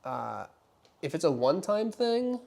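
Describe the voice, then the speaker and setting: A man's voice: a little high, very animated, unhurried, vocal fry, a little mellow. A man talking casually as a physical therapist, explaining things to a patient in a reassuring way and often checking they follow.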